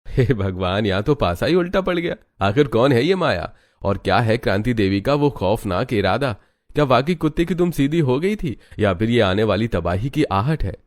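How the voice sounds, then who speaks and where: A man's voice: medium pitch, expressive, normal speed, no room sound, studio quality. A man giving a dramatic scripted narration of a family story. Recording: music behind the voice. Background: background music.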